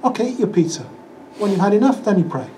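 A man's voice: high voice, very animated, fast talker, mellow, a little hiss or hum. An older man teaching a religious class in a small hall, chatty and acting out examples, speaking into a handheld mic. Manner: conversational, acts out excuses in quoted voices. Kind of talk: lecture with audience questions. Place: small hall with projector screen. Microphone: handheld microphone.

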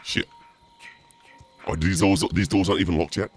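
A man commentating on a game in a theatrical, mock-menacing, sweary way, his voice pitched down by a voice changer. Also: steady tone, normal speed, faint music behind. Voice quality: deep, gravelly, through a voice changer. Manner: theatrical mock-menacing taunts mixed with muttering. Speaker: streamer playing a game as the killer.

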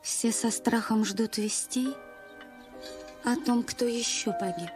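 A woman's voice: on the high side, fairly even intonation, slow. A woman playing a genteel, lonely, devoted young woman in a dubbed film drama, speaking formally and earnestly. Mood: sorrowful pleading. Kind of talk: dramatic film dub, dialogue and letter narration. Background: film music.

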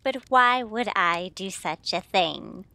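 A woman playing an indignant young nurse with a sharp manner in an old-time radio mystery. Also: medium pitch, animated, slow, gravelly, full character voice.

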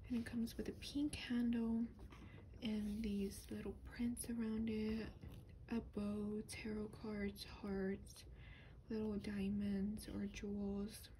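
Soft, breathy woman whispering ASMR, noisy recording. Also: mid-range voice, completely flat delivery, slow. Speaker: ASMR doll reviewer. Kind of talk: soft-spoken ASMR, rambling show and tell. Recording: clearly noisy lo-fi home recording.

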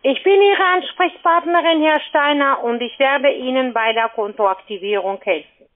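Woman cold caller, kinda high voice, pushy sales talk, thin phone sound. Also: moderate pace. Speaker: cold-calling telephone sales agent. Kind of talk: sales pitch on a phone call. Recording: tinny, narrow-band phone line.

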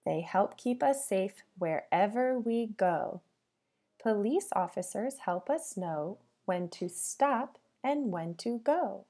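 A woman teacher reading a storybook aloud to young children, with a sing-song delivery, pausing to put questions to them. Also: medium pitch, animated, dark tone.

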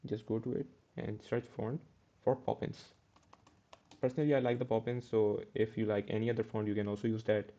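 A man's voice: fairly low pitch, little pitch movement, fairly quick, dark tone, dull audio. A man teaching a step-by-step coding tutorial over a screen recording, correcting himself as he goes. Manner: step-by-step, self-correcting with "uh" and "okay". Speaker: programming tutor.

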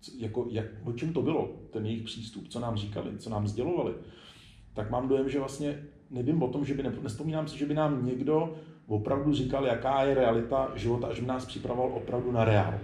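A man's voice: mid-range voice, steady tone, mellow. A man in his forties talking casually and reflectively in an interview, restarting his sentences and stressing his points. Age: 40s. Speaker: interviewed illustrator and studio founder. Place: indoor spray-paint shop, at a table. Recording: roomy sound with faint background noise.

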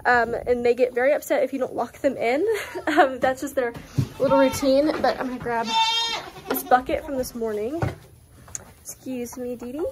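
A woman's voice: sits high, steady tone, moderate pace, very noisy. Young southern woman chatting on her farm. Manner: chatty, lots of "um", soft and soothing with the animals. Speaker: vlogger caring for farm animals. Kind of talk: casual how-to vlog. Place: outdoors on a farm by animal pens. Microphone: phone camera held close, selfie framing.

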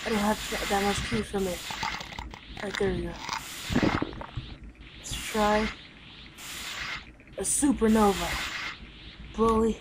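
A woman improvising a video game roleplay, talking haltingly with sighs. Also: medium pitch, steady tone. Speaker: player and narrator of a roleplay series. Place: indoors at a computer. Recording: noisy home recording.

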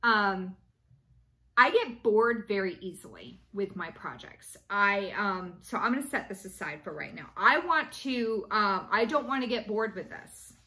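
Late-30s woman, chatty at-home vlogger, bright and forward voice, lots of ums and restarts. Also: mid-range voice, animated, measured pace, rough recording. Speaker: cross-stitch vlogger. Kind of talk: casual chat to camera. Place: small room indoors at home.

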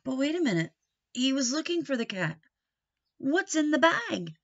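A middle-aged woman reading a children's picture book aloud expressively, pausing for questioning asides to young listeners. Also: high voice, sing-song, muffled recording. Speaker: storybook reader. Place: small wood-panelled room indoors.

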